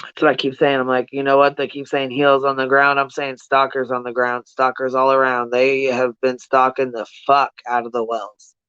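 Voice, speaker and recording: A livestream host talks casually to viewers in blunt, slangy language full of swearing, circling back to hammer points home. The delivery is monotone and the voice is clean and smooth. The recording is dry and somewhat dull. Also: mid-range voice, normal speed, no echo. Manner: blunt, profane, slangy, repeats points for emphasis. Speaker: livestream host giving commentary.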